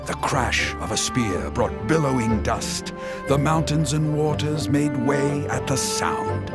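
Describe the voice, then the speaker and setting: An actor playing a male storyteller who recounts an old legend in a video game, with a dramatic, rising delivery over loud game music. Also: mid-range voice, takes it slowly. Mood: reverent.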